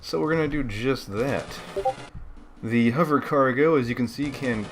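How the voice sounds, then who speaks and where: A man's voice: medium pitch, normal speed, faint music behind. A man giving casual, rambling game commentary as a let's play host, laid-back and slangy.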